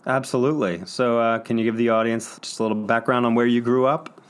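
A man's voice: medium pitch, fairly even intonation, fast talker, clear, resonant tone. A man hosting a medical podcast, introducing a panel and reading out a study summary. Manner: introduces guests, reads abstract summaries. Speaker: podcast host.

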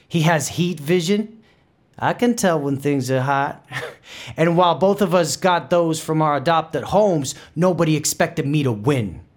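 A fiery, proud Bronx bandleader of Puerto Rican roots, tells his story in a theatrical monologue. His voice sits a little high, with a New York Puerto Rican accent. He moves between English and Spanish with passion. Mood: boastful, playful. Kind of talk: dramatic theatrical monologue for audio drama.

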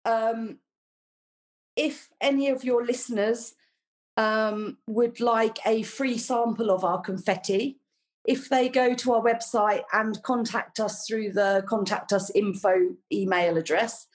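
A woman's voice: on the high side, fairly even intonation, slow pace. A middle-aged woman, a flower farmer, chatting as a podcast guest in a friendly way, with lots of ums and small laughs. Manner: chatty and warm, with frequent ums and light laughs. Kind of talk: casual interview chat.

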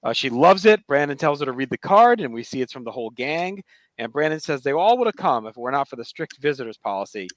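A man's voice: medium pitch, sing-song, fast talker, no room sound, low-quality recording. A male podcast host gives a casual recap of a TV episode in a mid-range voice. He talks conversationally, slipping into quoted dialogue as he retells scenes. The sound is dry and close.

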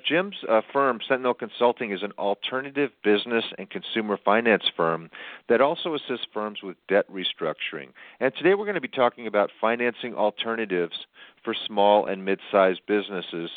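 Host: man, lower voice, tinny dry line, casual and filler-heavy. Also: no echo. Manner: friendly, with frequent uh fillers. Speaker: podcast host. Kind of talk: casual interview hosting. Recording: tinny phone-line audio.

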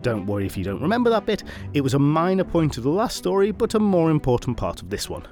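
A man's voice: a little high, sing-song, fast, darkish tone, soft background music. Wry man retelling old myths. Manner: wry, playful asides. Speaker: podcast host and storyteller. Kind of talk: chatty retelling of myth.

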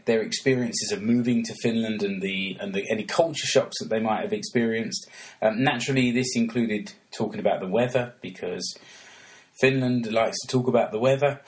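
A man hosting a casual interview podcast, talking in a relaxed way with many restarts and fillers. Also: fairly low pitch, rough recording. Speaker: podcast host.

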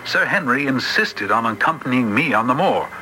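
An actor playing a loyal, cautious gentleman in a vintage radio drama, earnest and a little hesitant. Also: mid-range voice, lively intonation, moderate pace, faint music behind. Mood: suspenseful narrating. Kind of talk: old-time radio drama acting and narration. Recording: noisy vintage radio recording.

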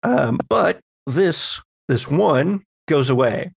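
A man acting as game master, explaining tabletop game rules in a measured, conversational, lightly playful way. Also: fairly high pitch, lively intonation, gravelly, husky, no echo.

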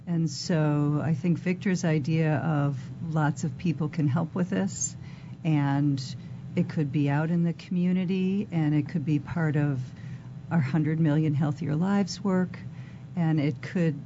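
Low-voiced woman with steady answers. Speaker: panelist. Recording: dull lo-fi audio with background hum.

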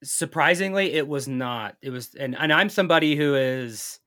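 A man's voice: on the high side, normal speed, bright, forceful tone. A man speaking native English in a casual chat as a podcast guest, telling things in a rambling, storytelling way.

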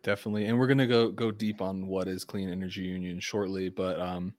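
Man, fairly low voice, interview host, dry close sound. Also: a little fast, no echo. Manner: relaxed, short affirmations. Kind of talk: casual interview questions.

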